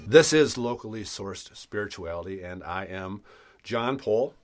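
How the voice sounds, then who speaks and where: A middle-aged man with a somewhat low voice hosts a podcast and talks through spiritual ideas in an earnest, reflective way, often restarting his sentences. He sounds stuffy from a cold. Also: animated, unhurried, faint music behind. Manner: earnest, thoughtful, with frequent restarts. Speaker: podcast host.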